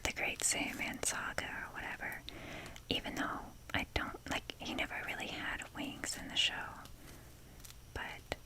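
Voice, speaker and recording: A young woman hosting an ASMR video in a breathy, unvoiced whisper, rambling casually with a self-deprecating manner. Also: a little slow.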